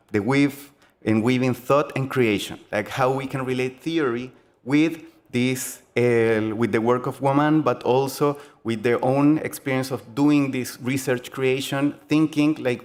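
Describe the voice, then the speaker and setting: A young man with a Chilean accent, giving a conference talk on game design in a halting, earnest way, full of fillers and restarts. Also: mid-range voice. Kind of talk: conference presentation.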